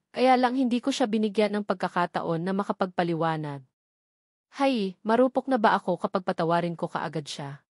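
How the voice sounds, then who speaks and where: A woman narrates a romance audiobook in the first person. Her voice sits at an average pitch for a woman. The recording is dry, with little room sound. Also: a little mellow, no room sound.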